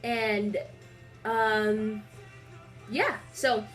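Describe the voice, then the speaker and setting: A woman's voice: medium pitch, extremely slow, faint music behind, rough recording. A young woman with a stuffy nose chatting casually into a webcam in a small room, rambling with lots of fillers. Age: young adult. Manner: rambling, filler-heavy, animated storytelling. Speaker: vlogger.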